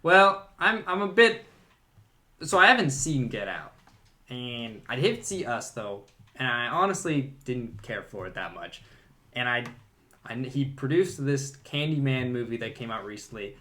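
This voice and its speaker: A man's voice: medium pitch, very animated, a little slow, pushed and bright. A man co-hosting a podcast, talking casually in a film discussion. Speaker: podcast co-host.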